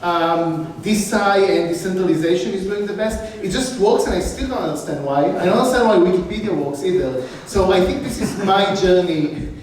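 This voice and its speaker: A man's voice: high voice, moderate pace, few pauses, darkish tone. A man with an Israeli accent, an investor on a conference panel, self-deprecating and contrarian, laughing often. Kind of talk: casual panel talk. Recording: roomy hall, distant mic, faint hum.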